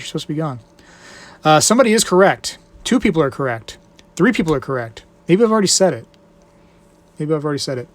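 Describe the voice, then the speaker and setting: A man hosting a casual live stream, reading viewer comments aloud and answering them in a joking, rambling way. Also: a little high. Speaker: livestream host.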